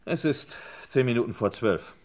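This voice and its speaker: A determined man out for the truth, played by a radio actor, with a medium-pitched voice. He accuses and questions in measured, formal, controlled phrases, in the style of a crime radio play. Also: expressive, a little mellow, lightly acted. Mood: accusing, insistent. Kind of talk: radio crime drama acting.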